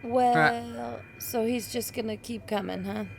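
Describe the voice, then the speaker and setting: A woman's voice: on the high side, fairly even intonation, slow pace, vocal fry, faint music behind. A woman playing a tabletop game, playful and casual, counting down before her rolls. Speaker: player.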